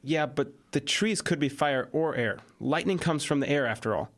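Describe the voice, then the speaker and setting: Teen schoolboy sleuth for audio drama male actor, earnest and questioning, slightly high register. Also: normal speed, a bit bright. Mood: puzzled, earnest. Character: earnest, curious teenage schoolboy amateur sleuth.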